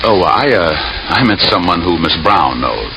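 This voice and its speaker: An American man playing a wry, hardboiled private detective in an old radio drama, deadpan as he narrates and wisecracks. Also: sits low, moderate pace, gravelly, background noise, heavily acted. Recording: old radio recording, loud music.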